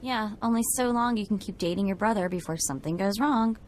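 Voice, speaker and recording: An actress playing a wry, blunt young crew companion making sardonic asides in a video game cutscene. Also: female voice, mid-range voice, brisk, darkish tone, a little hiss or hum, natural voice.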